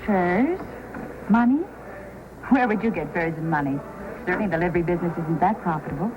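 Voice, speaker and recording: An actress playing a coy, guarded frontier entertainer in an old-time radio drama. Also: female voice, fairly low pitch, lively intonation, brisk, natural voice. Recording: noisy old radio recording.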